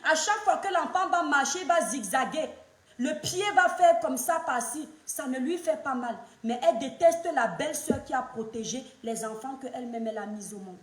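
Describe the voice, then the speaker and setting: A woman in her thirties comments online with a high, pressing voice. She lectures emphatically and drives her points home by repeating them. The room around her sounds echoey. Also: lively intonation, normal speed. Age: 30s. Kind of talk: opinionated social media commentary.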